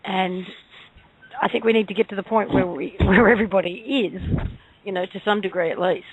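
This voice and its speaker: A woman's voice: mid-range voice, fairly even intonation, fairly quick, slightly rough, phone-quality sound. A woman speaking as a guest on a talk show, earnestly explaining and answering questions. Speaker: radio show guest, activist.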